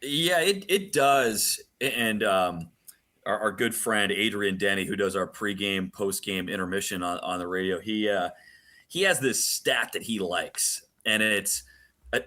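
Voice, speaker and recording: A man with a fairly low, very bright and forceful voice talks sports as a radio guest. He speaks casually, doubling back on words as he goes and dropping in colorful slang. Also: lively intonation, measured pace. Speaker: radio play-by-play announcer as guest.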